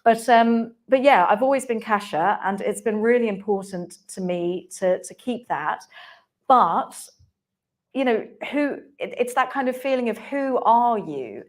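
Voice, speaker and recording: A woman's voice: on the high side, expressive, measured pace. Chatty woman panelist. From England. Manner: candid, chatty, many restarts. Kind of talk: panel discussion. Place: conference hall.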